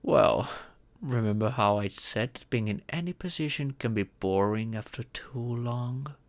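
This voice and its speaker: A man playing a fatherly dragon mentor in an ASMR fantasy roleplay, patiently telling stories and teaching magic in a gentle, measured, instructive way. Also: medium pitch, lightly acted. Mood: gentle instructing. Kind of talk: ASMR audio roleplay, scripted fantasy storytelling.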